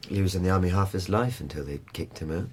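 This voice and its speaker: A man's voice: low voice, animated, fast, darkish tone, husky. An actor playing a cool, cagey teenage boy being questioned in a radio drama, hesitant and evasive. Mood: guarded.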